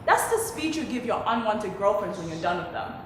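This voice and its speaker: A woman's voice: medium pitch, sing-song, fairly quick, close to an everyday voice. A woman acting an indignant, sarcastic adult daughter in a stage play. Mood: exasperated, mocking. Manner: sarcastic, exasperated, emphatic. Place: indoor theatre stage, recorded from far off. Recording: echoey theatre, distant mic, faint noise.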